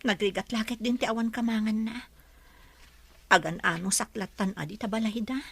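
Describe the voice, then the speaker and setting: A woman's voice: medium pitch, moderate pace, gravelly, a bit bright, breathy edge. A woman playing a struggling, sorrowful young mother in an Ilocano radio drama. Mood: worried.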